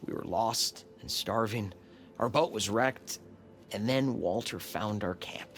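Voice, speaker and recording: A man's voice: lowish voice, slow pace, a little hiss or hum. A man playing an anxious, regretful follower of a cult in a video game, hesitant and subdued. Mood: somber, regretful. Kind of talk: naturalistic video game cutscene acting.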